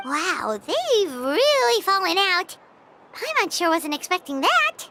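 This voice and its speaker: A tiny, eager fairy companion from a video game. The voice is extremely high, piercingly bright and childlike, leaping wildly in pitch with curiosity, over soft music. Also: female voice, sing-song, slow pace, heavily acted. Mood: surprised. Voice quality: squeaky, childlike. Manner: speaks of self in third person.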